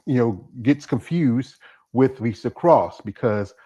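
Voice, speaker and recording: A man's voice: medium pitch, expressive, slow pace, dull audio, a crowd in the background. A man hosting an interview, rambling and leaning on "you know". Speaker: interview host. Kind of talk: casual interview chat.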